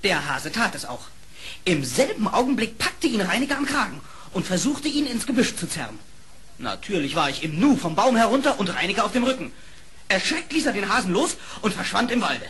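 High-voiced, excitable lynx courtier. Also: male voice, a little fast, a little hiss or hum. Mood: recounting. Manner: excitable, formal, theatrical. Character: fiery, zealous lynx court marshal. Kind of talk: German fairy-tale radio play acting.

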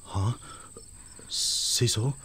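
A man playing a lovesick, guilt-ridden young swordsman in a radio drama, hesitant and pleading. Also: very slow. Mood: startled, yearning. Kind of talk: Cantonese radio drama acting. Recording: radio drama under loud music.

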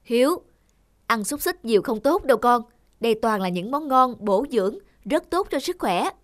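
A woman's voice: fairly high pitch, sing-song, normal speed. A woman voicing a caring cartoon mother who coaxes and explains things to her fussy young son. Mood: coaxing. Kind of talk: cartoon voice acting.

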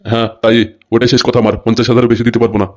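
A man's voice: medium pitch, level tone, very fast, lightly acted. A man playing a tight-fisted, scolding village father with coarse speech in a comic cartoon dub. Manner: scolding, earthy, coarse-tongued.

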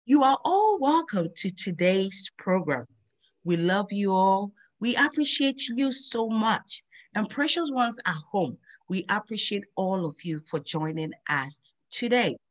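A middle-aged woman, hosting a children's Bible lesson over a video call and slowly drilling memory verses in a sing-song teacher voice. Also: mid-range voice, expressive. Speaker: host of a children's Bible program.

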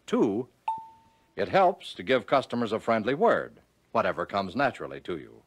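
An American man narrates in the manner of a 1940s training film. His fairly low voice moves widely up and down as he instructs, leaning deliberately on key phrases, and the sound is dry and close. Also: wildly swooping pitch, slightly rough, no room sound.

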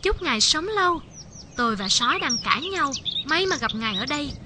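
A woman voicing a sly, polite, quick-witted little fox in an animated fable. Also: sits high, very noisy. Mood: flattering, pleading.

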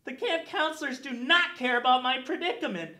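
A man's voice: extremely high pitch, fairly quick, sharp tone, roomy sound, heavily acted. A man playing a miserable young camp wizard, whining and aggrieved, in a comedy monologue. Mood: miserable, whiny.

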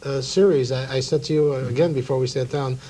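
A middle-aged American man hosting a TV interview in a conversational, halting and deliberate way. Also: mid-range voice, steady tone, mellow. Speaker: talk show host. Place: small TV studio.

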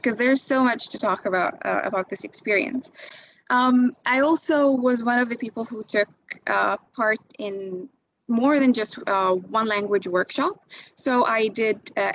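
A woman's voice: on the high side, fairly bright tone, rough recording. A young woman from Tunisia, a recent graduate, talking informally on a webinar with many fillers and restarts. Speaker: graduate speaking to prospective students. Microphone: video call.

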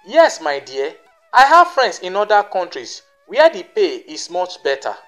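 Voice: medium pitch, sing-song, unhurried, fairly bright tone, faint music behind. A narrator voicing a scheming older madam in a folktale, reassuring and persuasive. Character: smooth-talking, scheming madam luring a young woman.

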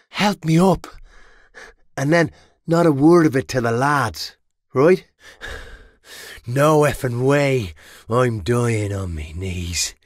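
A man's voice: mid-range voice, husky, dry recording, clean studio sound, exaggerated voice. A man with an Irish accent playing a brash, money-minded medieval mercenary in a video game, roguish and theatrical. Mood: pleading, then defiant. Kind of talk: game combat barks.

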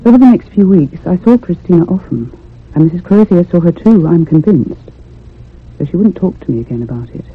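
An actress playing a thoughtful, troubled young woman in a radio ghost drama, speaking in a grave, measured, haunted way. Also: lowish voice, animated, breathy edge, heavily acted. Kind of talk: old-time radio drama narration. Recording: muffled phone-like radio recording with hum.

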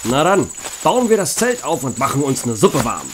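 A man's voice: medium pitch, sing-song, normal speed, noisy background, lightly acted. A man playing an easily exasperated young guy in a comedic audio drama, colloquial and exclamatory. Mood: casual.

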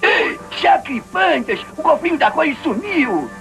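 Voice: sits high, sing-song, unhurried, music in the background, full character voice. An actor voicing a bossy, fatherly old elf leader in a cartoon dub, urgent and commanding.

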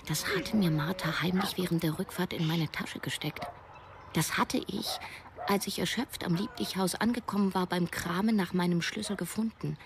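An actress playing a woman writer in her thirties, narrating her own story in measured, literary phrases for a radio drama. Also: on the low side, background noise, lightly acted. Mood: reflective. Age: 30s. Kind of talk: scripted first-person narration, radio drama. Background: music.

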